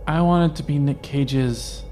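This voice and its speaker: A man playing an anxious young man in a fan audio drama, talking in a halting, rambling, self-questioning way. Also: on the high side, warm tone, faint music behind. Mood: reflective. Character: anxious young man facing his identity.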